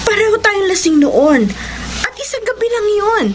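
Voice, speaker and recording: A woman's voice: very high-pitched, lively intonation, normal speed, over loud music. A woman playing an anxious, defensive young mother in a Filipino radio soap, dramatic and prone to stammering, with a Filipino accent. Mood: worried, pleading. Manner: dramatic, stammering when startled.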